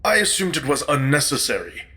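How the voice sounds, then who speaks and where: A man playing a droll, deadpan, fastidious dinner guest in a comedy audio drama. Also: on the high side.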